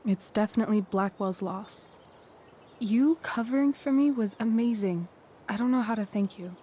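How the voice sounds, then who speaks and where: A woman plays a confident teenage girl in a video game story. Her voice sits at an ordinary pitch, teasing and affectionate, with natural expressive delivery. Some noise runs behind her. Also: normal speed, close to an everyday voice. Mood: sympathetic.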